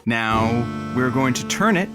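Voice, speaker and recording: Comedy lead: flustered man hosting radio, insecure, medium pitch, lively inflection. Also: takes it slowly, over music. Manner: hesitant, exasperated, awkward. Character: flustered, insecure, awkward male radio host. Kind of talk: audio comedy drama acting.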